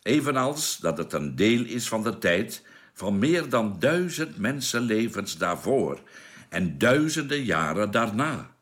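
A man's voice: fairly low pitch, sing-song, unhurried, fairly bright tone. An actor playing a knowing space crewman in a radio drama, explaining things patiently. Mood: calm, certain.

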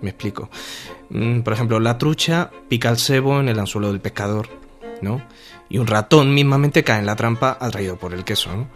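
A man's voice: medium pitch, moderate pace, soft background music, lightly acted. An actor playing a musing male lecturer in a radio play, explaining in a conversational, slightly hesitant professorial way. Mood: musing, explanatory. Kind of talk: scripted radio monologue in lecture style.

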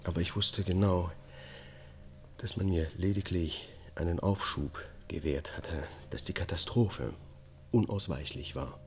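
An actor playing a troubled middle-aged man in a German radio drama, weary and evasive, speaking gravely and with restraint. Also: low-pitched, a little slow. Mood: bleak confession. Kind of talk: German radio drama acting, confessional monologue.

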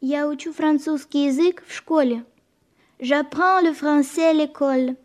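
Young schoolgirl, high voice, slow careful phrases, polite, eager, radio lesson. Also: steady tone, darkish tone. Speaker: pupil character in language programme. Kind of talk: scripted bilingual radio lesson, slow repetition.

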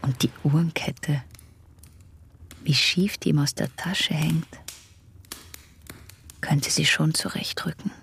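Slow creaky young lady patient, sullen and guarded, murmury radio drama voice. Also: low-pitched, steady tone, a bit bright, a little hiss or hum, exaggerated voice. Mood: scornful, observing. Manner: murmured, dry, terse. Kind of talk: radio drama acting, whispered inner monologue.

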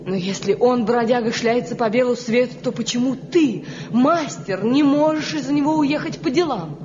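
Fearful young-hearted wife, played by a woman, imploring, emotional radio-play acting, muffled recording with clear noise. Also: fairly high pitch, moderate pace, lightly acted. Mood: urgent, fearful. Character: frightened, pleading wife, foreboding, devoted.

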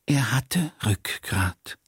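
A man playing a dry, husky radio-play storyteller who mimics bossy authority figures. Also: medium pitch, sing-song, very slow, a bit bright, dry recording, exaggerated voice. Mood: dry, commanding. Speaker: narrator. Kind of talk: radio play storytelling, acting out short quotes.

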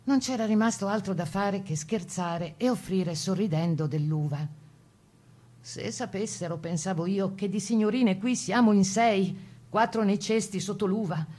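A woman's voice: mid-range voice, normal speed, dark tone, natural voice. A woman playing a plucky Italian Resistance partisan, telling her wartime memories in the first person as a dramatized radio testimony. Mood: wry, composed. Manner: composed first-person storytelling.

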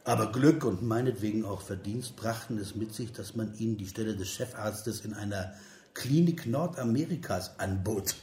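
An actor in a radio play voicing a bitterly sarcastic political cynic of 1930s Germany, with a rounded, rough voice and biting, declamatory delivery. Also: male voice, lowish voice, normal speed, warm tone, natural voice. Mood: bitterly serious. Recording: roomy echo, music behind.

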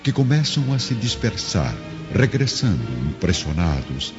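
A man's voice: lowish voice, sing-song, unhurried. A man narrating a radio drama from a script in a solemn, theatrical manner. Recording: dull recording under music.

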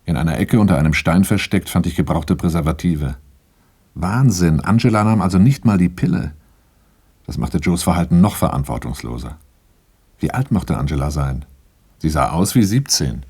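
An actor playing a wary handyman and driver, narrating his own story in a measured, matter-of-fact, introspective way for a 1980s radio play. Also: low-pitched, animated, a little mellow, slightly rough, exaggerated voice. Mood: brooding. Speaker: first-person narrator.

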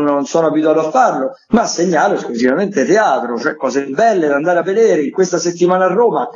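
Man, radio critic, fairly high voice, wry, halting delivery, slightly muffled audio. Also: a little mellow. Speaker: theatre critic giving weekly listings. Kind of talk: chatty radio recommendations. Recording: slightly dull lo-fi radio link.

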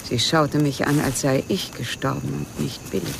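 A world-weary widow, gravelly edge. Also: female voice, sits low, fairly even intonation. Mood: bitter, agitated. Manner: brooding, halting, drifting into reminiscence. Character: world-weary grieving widow, haunted by memories, self-pitying. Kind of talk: German radio crime drama acting. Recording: noisy track with outdoor ambience.

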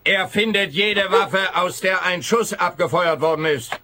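An actor playing a fussy, rambling, pompous police officer in a comic detective radio play. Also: male voice, high voice, measured pace, background noise. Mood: smug, digressive. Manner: fussy, digressive, self-amused.

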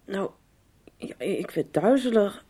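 A woman's voice: mid-range voice, very animated, slow, mellow, slightly rough, exaggerated voice. A woman acting a fragile, defensive teenager in a radio soap, hesitant and guarded. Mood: weak, guarded.

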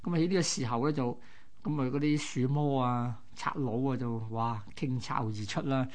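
A man's voice: medium pitch, steady tone, normal speed, darkish tone. A man with a Hong Kong Cantonese accent co-hosting, telling local history in a colloquial, explanatory way. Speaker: radio co-host. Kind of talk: conversational history storytelling.

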